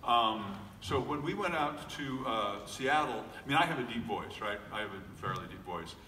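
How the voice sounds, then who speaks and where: A man's voice: medium pitch, animated, moderate pace, bright, forceful tone. Man, middle-aged, convention panel guest, joking, full of um and uh, distant mic, faint noise in the background. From United States. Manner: joking, self-deprecating, many fillers and restarts. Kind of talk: casual panel talk to an audience. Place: large convention hall. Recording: echoey hall recording from far off, faint noise.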